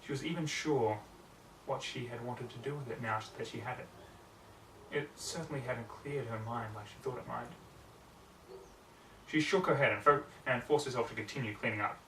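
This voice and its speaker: A man's voice: mid-range voice, normal speed, pauses often, fairly bright tone. Man narrating a story in an echoey room. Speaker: amateur audiobook reader. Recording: roomy, distant mic, faint background noise.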